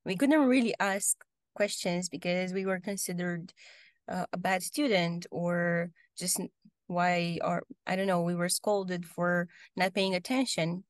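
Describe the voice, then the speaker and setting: A young woman from Romania with a Romanian accent, answering interview questions casually on a video call, often pausing with ums and restarting sentences. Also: fairly low pitch, fairly even intonation, no room sound, studio quality. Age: young adult. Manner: hesitant, many ums and restarts. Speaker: guest. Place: home room, video call.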